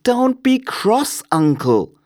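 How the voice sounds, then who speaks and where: A man voicing a cheerful, warm-hearted young nephew in a storybook reading, eager and exclamatory. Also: very high voice, sing-song, takes it slowly, voice pushed up, exaggerated voice. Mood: coaxing.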